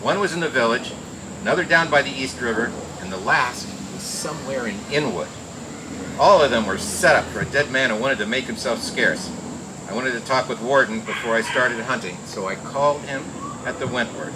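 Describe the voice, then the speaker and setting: Cool wisecracking gumshoe, male actor, medium pitch, noir radio narration with clear background noise. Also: moderate pace, lightly acted. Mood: wry, cool. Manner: wry, cool, slangy. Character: wisecracking hard-boiled male private detective narrator. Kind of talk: old-time radio noir narration and acting. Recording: noisy old radio drama recording.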